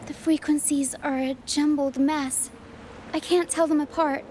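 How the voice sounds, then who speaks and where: A woman playing a calm, quirky young female adventurer in a video game, measured and gently playful. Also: high-pitched, background noise. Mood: musing. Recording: loud game music behind the voice. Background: game music.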